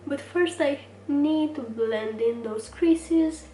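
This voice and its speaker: A woman's voice: sits high, slow, dark tone, slight background noise. A young woman hosting a casual makeup tutorial, chatty and slightly stuffy from a cold as she works at a mirror. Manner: chatty, talks while working at a mirror. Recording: roomy, mic at a distance, music underneath. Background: background music.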